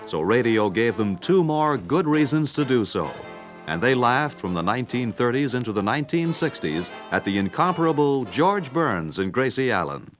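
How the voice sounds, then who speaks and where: A man's voice: medium pitch, big pitch swings, normal speed, faint music behind. A man with an American accent reading scripted documentary narration about the past. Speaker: narrator of a radio history record.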